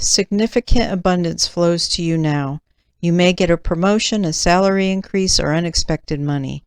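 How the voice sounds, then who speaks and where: A woman giving a tarot reading in measured, deliberate phrases with pauses, her voice slightly nasal. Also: sits low, smooth, dry recording, clean studio sound. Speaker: tarot reader and channel host. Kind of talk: slow, deliberate tarot reading, part read aloud from cards.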